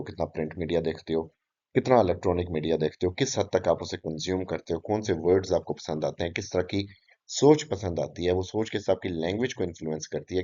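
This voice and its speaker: A male English teacher giving an explanatory lecture, listing points one after another, switching into English terms and pausing on filler sounds. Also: sits low, warm tone. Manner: frequent filler hesitations between phrases. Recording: dull lo-fi audio.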